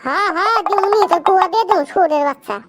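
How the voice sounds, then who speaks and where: A performer voicing a cheeky, foul-mouthed cartoon schoolboy who scolds his friends in a squeaky, brash, slangy way. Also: rapid-fire, a loud crowd. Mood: indignant, abusive. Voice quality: squeaky, artificially high. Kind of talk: comedy cartoon dialogue.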